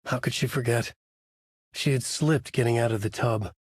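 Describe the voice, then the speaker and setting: An actor playing a brooding, haunted male writer who gravely narrates his own horror story in a husky voice for a video game. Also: medium pitch, normal speed, dry recording, studio quality, lightly acted. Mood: brooding, ominous.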